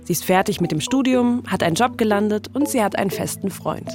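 A woman's voice: mid-range voice, animated, fairly quick. Female podcast host, scripted storytelling, mid-range voice over music. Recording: music playing behind the voice.

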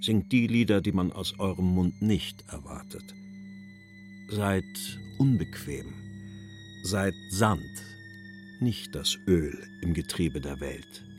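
An actor reading as a sober, earnest male writer, in a deliberate, measured recitation for a literary radio feature. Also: deep voice, lively intonation, a little mellow, faint music behind. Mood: solemn, urging. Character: male writer, sober, earnest, read from his letters and verses. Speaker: quotation reader.

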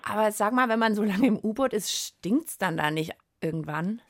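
A woman's voice: mid-range voice, lively intonation, normal speed, no room sound. Dry, close-sounding woman podcast host. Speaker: co-host of children's science podcast. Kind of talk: casual, curious conversational presenting for children.

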